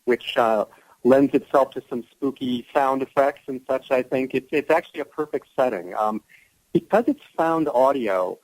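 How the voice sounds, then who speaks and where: A man's voice: medium pitch, moderate pace, a little mellow, poor audio. A man speaking as an actor guest in a casual radio interview, explaining things with enthusiasm. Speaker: guest, audio drama actor.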